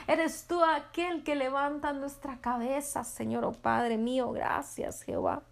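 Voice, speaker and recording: A woman leading a fervent spoken prayer with rhythmic repeated invocations, at times dropping to a soft near-whisper. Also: sits high, steady tone, measured pace, creaky. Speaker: prayer leader.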